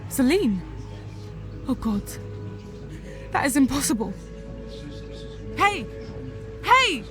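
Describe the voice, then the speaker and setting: A woman's voice: sits high, big pitch swings, takes it slowly, exaggerated voice. An actress playing a shocked, distressed female rabbit villager in a dramatic fantasy game. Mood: shocked, alarmed. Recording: music under the voice.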